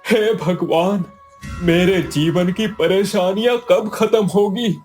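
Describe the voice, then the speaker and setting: A man's voice: high voice, slow, darkish tone, faint music behind. A man playing a poor, kind-hearted young villager in a cartoon moral story, earnest and pleading. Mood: sad, pleading.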